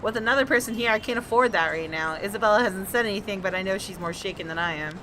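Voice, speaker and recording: A woman's voice: medium pitch, fast, a bit bright, a little hiss or hum, rough recording. A woman hosting a gaming video, reading visual novel narration aloud with dramatic expression.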